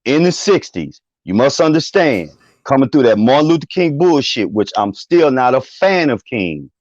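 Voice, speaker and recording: A man's voice: medium pitch, sing-song, normal speed, a little mellow, no echo, muffled recording. A man calling in to a discussion, defiant and profane, repeating himself for emphasis. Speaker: caller joining the discussion. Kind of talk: opinionated call-in commentary.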